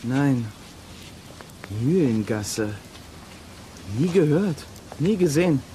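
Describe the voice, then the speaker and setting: A man's voice: on the high side, animated, slow pace, warm tone. An impulsive boy sleuth in a children's radio play. His delivery is blunt and impatient, with very varied intonation. There is slight noise in the background. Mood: frustrated. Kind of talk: German children's audio drama acting.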